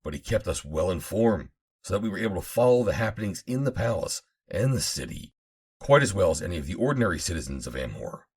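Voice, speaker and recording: A man reading an adventure novel aloud as an audiobook narrator, in a measured, formal storytelling manner. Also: low voice, very animated, breathy edge. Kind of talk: dramatic scripted narration of an old adventure novel.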